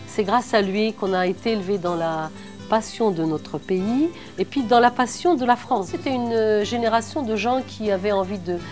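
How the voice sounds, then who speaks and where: A middle-aged woman from Morocco who is an artist, speaking thoughtfully in an interview with frequent hesitant pauses. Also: medium pitch, a little mellow, faint music behind. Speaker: artist and cultural organizer. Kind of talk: reflective interview.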